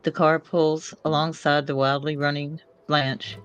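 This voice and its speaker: A woman's voice: deep voice, level tone, unhurried, lo-fi sound, a little hiss or hum. A woman narrating screenplay action in a loose, informal way, sometimes stumbling over the script. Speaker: co-reader of screenplay.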